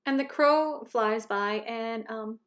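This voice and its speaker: A woman reading a children's storybook aloud in an expressive, emphatic narrating style. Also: a little high, slow. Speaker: storybook reader.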